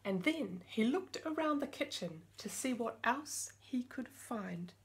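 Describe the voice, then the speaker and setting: A middle-aged woman reads a picture book for young children. Her voice sits a little high, and she tells the story expressively and in simple terms. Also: expressive, measured pace. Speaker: storytime host reading aloud. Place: small indoor room with bookshelves.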